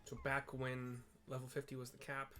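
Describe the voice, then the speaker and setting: A man giving casual gameplay commentary, halting as he thinks aloud. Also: mid-range voice, monotone, pushed and bright, soft background music. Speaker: video game player commentating.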